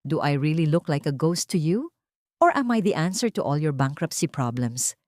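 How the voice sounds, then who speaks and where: A woman's voice: low voice, big pitch swings, moderate pace, a little mellow, no room sound, studio quality. A woman voicing a poised, mocking female executive with a cold, cutting manner in an audio drama. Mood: taunting.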